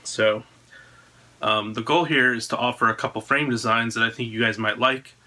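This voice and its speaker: A man's voice: mid-range voice, steady tone, moderate pace, pushed and bright. An American man in his thirties talking casually to camera, presenting a product announcement in an indoor room at home. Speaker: drone frame designer presenting own video.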